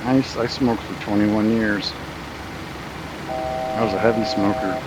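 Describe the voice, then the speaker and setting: An older man with a very deep voice, chatting casually and joking about himself while flying in a sim. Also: fairly even intonation, unhurried, background noise. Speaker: flight sim pilot. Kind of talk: casual chat on voice channel.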